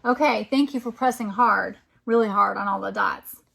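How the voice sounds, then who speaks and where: A woman's voice: medium pitch, normal speed, vocal fry, fairly bright tone, husky. A woman reading a picture book aloud to a young child as a teacher, with encouraging, exclamatory delivery. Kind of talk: interactive children's storytime read-aloud.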